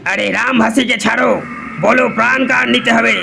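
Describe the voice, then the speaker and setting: A male god of death for a funny cartoon, with a very gravelly, high-set voice. He is grandiose, imperious and quick to anger. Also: little pitch movement, very noisy, heavily acted. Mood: pompous, intrigued. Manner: imperious, grandiose, booming outbursts. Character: pompous, hot-tempered male god of death. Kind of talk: comic cartoon dubbing.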